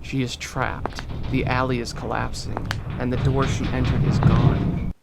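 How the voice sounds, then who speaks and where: A man's voice: flat delivery, a little slow, vocal fry. A man reading out a screenplay as narrator, in a dramatic scripted style, at a table read. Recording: loud ambient rumble behind voice.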